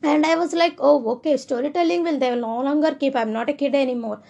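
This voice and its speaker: A woman's voice: high-pitched, fast, vocal fry, mellow. A young woman with an Indian English accent, talking casually and earnestly, often restarting her sentences. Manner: earnest, rambling, with frequent restarts. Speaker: speaker sharing personal views. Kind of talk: casual monologue.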